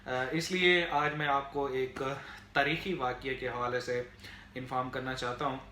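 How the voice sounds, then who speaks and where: A man with a Pakistani accent giving unscripted political commentary to viewers in a halting, explanatory way, with frequent filler phrases. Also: medium pitch, steady tone, pushed and bright. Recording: echoey room, faint background noise.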